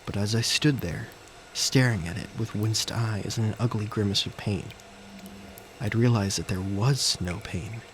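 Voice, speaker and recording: A man giving scripted first-person dramatic narration in deliberate, short phrases. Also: lowish voice, poor audio. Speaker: narrator and character of an audio story. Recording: faint background noise and ambience.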